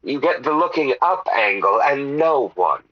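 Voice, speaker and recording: A man's voice: medium pitch, very animated, measured pace, no room sound, highs cut off. A man playing a pompous, randy caller to a radio advice show in a comedy audio drama, savouring his words theatrically. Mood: smug, savouring.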